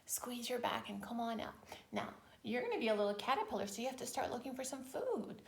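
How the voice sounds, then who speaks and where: A woman teaching yoga storytime to young children, encouraging and playful, with expressive storytelling and hushed, breathy moments. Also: fairly high pitch, a little fast. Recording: roomy echo with faint noise.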